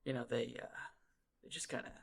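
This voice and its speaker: A man co-hosting a podcast, telling stories in a rambling, animated, casual way and swearing freely. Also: mid-range voice, fairly quick, gravelly, slightly rough. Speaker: podcast co-host. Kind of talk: casual chat.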